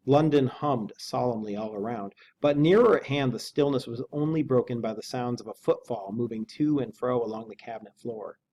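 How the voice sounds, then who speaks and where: A man's voice: medium pitch, normal speed, hardly any pauses, dark tone, a little hiss or hum. A man reading a novel aloud as an English teacher and explaining it in a casual, conversational way.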